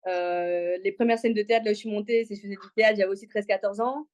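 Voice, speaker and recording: A woman's voice: medium pitch, steady tone, quick pace, darkish tone. Casting: chatty female podcast guest, mid-range voice, casual and slangy delivery. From Congo, moved to Belgium aged six. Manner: talkative, informal, slangy. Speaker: interview guest, comedian. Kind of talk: casual personal storytelling in an interview.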